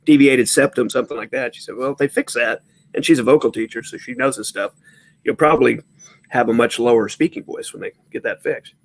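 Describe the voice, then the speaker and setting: Congested man with a nasal voice. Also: rough recording. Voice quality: high, nasal speaking voice. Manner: agreeable, many quick yeahs. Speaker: stream host. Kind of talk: casual stream chat.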